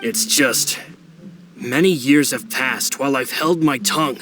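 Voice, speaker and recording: Casting: male actor for loyal, reserved right-hand man in a game, mid-range voice, earnest and deferential, music clearly underneath. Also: medium pitch, measured pace, lightly acted. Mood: apologetic, reserved. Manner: sincere, restrained, deferential. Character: loyal, earnest, reserved male follower devoted to his boss. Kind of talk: video game dialogue acting. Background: prominent music with guitar.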